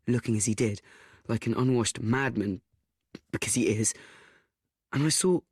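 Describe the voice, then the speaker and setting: A young actor playing an anxious, self-doubting teenage boy, confiding in a halting, stammering radio play monologue. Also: medium pitch, husky, dry recording, clean studio sound. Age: young adult.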